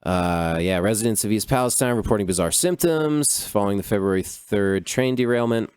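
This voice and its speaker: Low-voiced guy rambling thru the news on his podcast, swears a lot, says dude constantly, smooth dry sound. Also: a little fast, a little mellow, no echo. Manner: loose, profane, rambling with fillers like "dude". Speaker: podcast host. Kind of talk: casual solo commentary on news.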